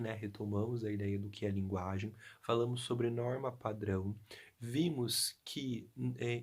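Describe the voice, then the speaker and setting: A young male Portuguese teacher from Brazil explains a lesson to students, checking in with frequent tag questions. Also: mid-range voice. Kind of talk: recorded class lesson.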